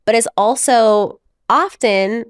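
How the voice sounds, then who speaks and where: A married mother and podcast guest, a woman speaking in a reflective, measured way, telling stories with light laughs. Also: on the high side, clear, resonant tone, no echo, clean studio sound. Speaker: guest author. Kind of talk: conversational podcast interview.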